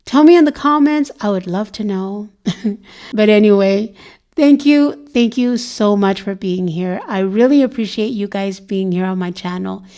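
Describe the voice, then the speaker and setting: A hoarse woman vlogging in a casual, rambling chat to her viewers, full of "you know" fillers. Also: medium pitch, normal speed, mellow.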